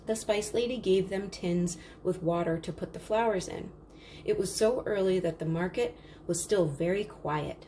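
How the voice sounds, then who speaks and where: A woman reads a children's picture book aloud. Her voice sits a little low and her delivery is measured and expressive. There is noise behind her. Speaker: storybook reader. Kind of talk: scripted children's story read-aloud. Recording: clearly noisy background.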